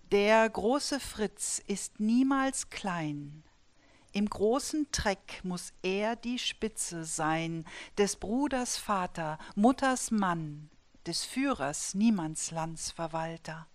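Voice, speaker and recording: Slow, deliberate woman in her fifties. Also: medium pitch, expressive. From Saar-Palatinate border region of Germany. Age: 50s. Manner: measured, deliberate recitation. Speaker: poet and dramaturge. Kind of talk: author presenting and reading her own poetry.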